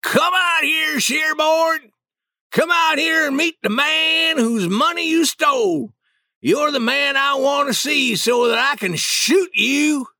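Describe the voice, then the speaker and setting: A man voicing a drunk, rowdy old man who bellows threats in a high, strained voice. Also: sing-song, takes it slowly, gravelly, pushed and bright, full character voice. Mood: raging.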